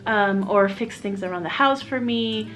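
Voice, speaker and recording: A woman's voice: mid-range voice, a little slow, a bit bright, soft background music. A young adult woman from Miami, chatting candidly and reflectively in a casual personal vlog, with fillers and restarts. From Miami, USA. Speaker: vlogger, single mom living in Mexico.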